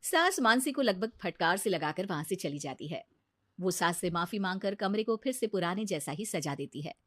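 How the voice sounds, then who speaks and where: A woman's voice: on the low side, animated, normal speed, no echo, clean studio sound. A woman narrator reading a scripted bedtime moral story.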